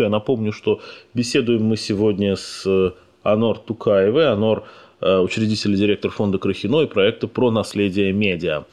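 A man hosting a radio conversation, his voice sitting a little low. He speaks in a thoughtful, measured way and carefully qualifies what he says. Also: darkish tone. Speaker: radio host. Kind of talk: radio talk interview.

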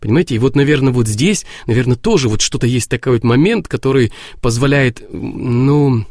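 A man's voice: mid-range voice, a little fast, darkish tone, no room sound, studio quality. A man, a priest co-hosting a talk show, reflecting aloud and often breaking off and restarting his words. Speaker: Orthodox priest, co-host.

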